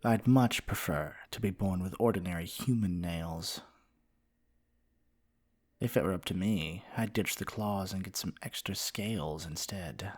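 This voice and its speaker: A man playing a flirty, slightly possessive dragon-blooded librarian in an ASMR roleplay, speaking softly and teasingly to the listener. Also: deep voice, unhurried. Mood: musing. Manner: coy, teasing, playfully insistent. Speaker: roleplay performer.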